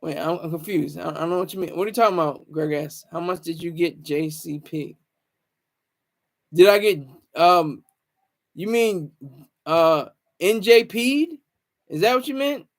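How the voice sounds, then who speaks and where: High-pitched man chatting casually on a livestream. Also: moderate pace, pauses often. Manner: rambling, repeats phrases for emphasis. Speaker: livestream host. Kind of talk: casual livestream chat with viewers.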